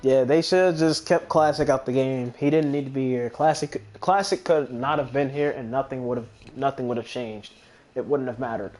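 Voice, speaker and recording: A man's voice: mid-range voice, moderate pace, a little mellow, faint music behind. Man rambling through casual game commentary. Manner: hesitant, rambling, thinks aloud with self-corrections. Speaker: gaming video host.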